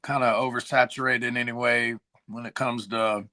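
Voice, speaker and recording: A hoarse man, a musician guest chatting casually and laid-back on a video call. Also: mid-range voice, flat delivery, moderate pace, pushed and bright. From Tennessee. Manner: laid-back, slangy, says "man" and "dude". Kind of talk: casual chat.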